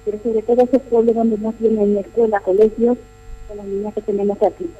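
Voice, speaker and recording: A woman's voice: mid-range voice, level tone, brisk, phone-quality sound. A middle-aged nun answering interview questions in a chatty, explanatory way, often repeating her phrases. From Paraguay. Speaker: nun, missionary at a boarding school.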